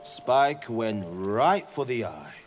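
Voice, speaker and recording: A vengeful young monster hunter from a fantasy video game. He speaks slowly and theatrically, brooding one moment and grandly heroic the next. A prominent musical score plays behind his voice. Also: mid-range voice, very animated. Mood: bitter, brooding. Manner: theatrical, declamatory. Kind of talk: dramatic video game dialogue acting. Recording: loud music bed behind the voice.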